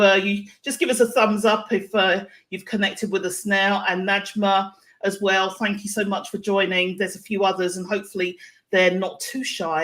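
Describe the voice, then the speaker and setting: A woman's voice: medium pitch, a bit bright, rough recording. A woman hosting a live online interview show, speaking in a chatty, effusive and encouraging way. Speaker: show host.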